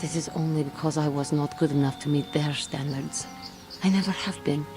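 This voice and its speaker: A tormented fallen Jedi woman speaks in a deep, low female voice. She is proud and bitter, theatrical and intense in the manner of video game drama, over clearly audible music. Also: moderate pace. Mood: bitter, despairing. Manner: intense, theatrical, formal phrasing.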